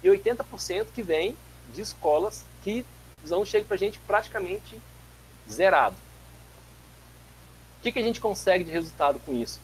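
A man in his forties, from Brazil, giving an animated physics lecture over a video call from a home office, telling stories with frequent restarts. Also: high-pitched, normal speed, long pauses, a little hiss or hum, rough recording. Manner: animated, gestures a lot, tells anecdotes with many restarts. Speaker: guest lecturer, physics teacher. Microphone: webcam mic on a video call.

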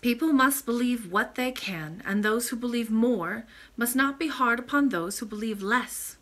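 A woman playing a sweet, wise old grandmother in a storybook, speaking in a gentle, patient, measured manner. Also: fairly high pitch. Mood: calm, wise.

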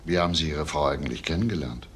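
An actor playing a shrewd senior police inspector in a crime drama, probing and insistent. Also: male voice, a bass voice, quick pace. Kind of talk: German TV crime drama acting. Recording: faint background noise.

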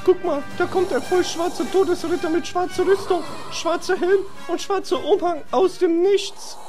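A man voicing a brash, slangy young adventurer with a raspy voice in a comedy fantasy audio drama. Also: very high-pitched, steady tone, moderate pace, darkish tone, faint music behind. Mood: wary, loud. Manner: street-slang, easily outraged.

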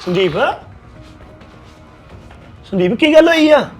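A man's voice: very high-pitched, lively intonation, slow, faint music behind, full character voice. An actor playing a protective husband who reassures his crying wife and speaks firmly about the family. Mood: reassuring. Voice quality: reassuring, firm. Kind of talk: family drama acting.

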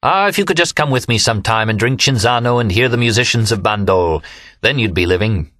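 A man's voice: fairly low pitch, expressive, fairly quick, a bit bright, no echo, studio quality, pitched above the performer's own voice. A male audiobook narrator voicing a pompous, effusive young American man who talks in a gushing, affected way. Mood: wistful, grand.

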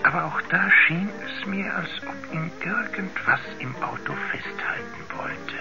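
An actor playing a shaken witness who hesitantly recalls an uncanny encounter in a 1970s radio drama. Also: male voice, high voice, animated, a little slow, creaky, full character voice. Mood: uneasy, recalling. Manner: hesitant, searching for words. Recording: muffled 1970s radio play recording with music.

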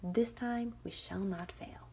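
Sweet youngest sister in a storybook, gentle whispered voice, voiced by a woman. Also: medium pitch, takes it slowly, gravelly, noisy background. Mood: determined. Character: youngest little girl, sweet and determined.

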